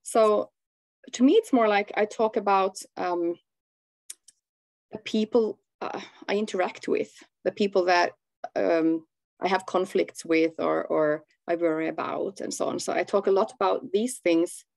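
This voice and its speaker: A woman from Finland with Swedish as her first language and a Finland-Swedish accent, chatting haltingly as an interview guest on a video call. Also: medium pitch, gravelly, darkish tone, dry recording. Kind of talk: casual conversational interview. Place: small room at home, indoors.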